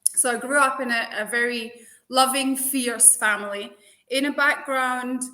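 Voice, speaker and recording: A woman with a Glaswegian Scottish accent, chatting as a guest in a lively, forthright way and laughing often. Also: high voice, unhurried. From Scotland. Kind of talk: casual interview chat.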